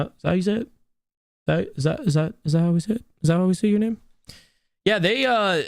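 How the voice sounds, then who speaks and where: A young man with a smooth, soothing voice casts a mobile game tournament live and talks straight to his chat. He chats loosely and restarts his words as he goes. The sound is close and dry, with no room around it. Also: high-pitched, no room sound. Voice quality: soothing, relaxed voice. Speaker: tournament host and caster. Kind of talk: live stream esports commentary.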